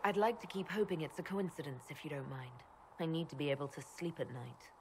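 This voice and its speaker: Diplomatic elf leader in a game, asks stuff sincerely, expressive, bit low, noisy behind her. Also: fast. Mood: hopeful, composed. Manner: questioning, composed, sincere. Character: inquisitive, diplomatic young female elven leader. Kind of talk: video game character dialogue. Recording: clear background ambience noise.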